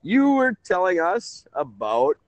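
A man's voice: high-pitched, sing-song, takes it slowly, no echo. A man in his thirties hosting a casual call-in radio talk show, rambling and correcting himself. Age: 30s. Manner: rambling, chuckling, self-correcting.

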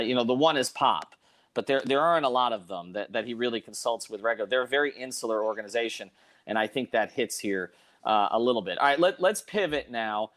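Halting male sports podcast host. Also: medium pitch, fairly bright tone. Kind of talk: casual sports discussion, putting questions to a panel.

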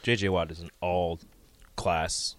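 A man's voice: deep voice, little pitch movement, slow, no room sound. A man co-hosting a radio show in casual, conversational chat. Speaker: radio co-host. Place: radio studio.

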